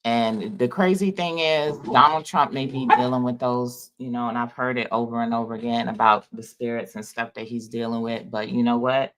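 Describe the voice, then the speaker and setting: A man's voice: mid-range voice, steady tone, normal speed, few pauses, fairly bright tone, rough recording. A man giving a loose, rambling spiritual talk to his viewers in an informal, folksy way. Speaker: spiritual vlogger. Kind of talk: casual spiritual monologue.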